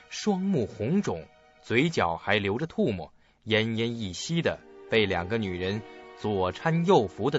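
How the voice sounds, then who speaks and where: Animated male suspense narrator. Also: on the low side. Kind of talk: dramatic scripted storytelling for radio drama. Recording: dull lo-fi audio, clear music behind.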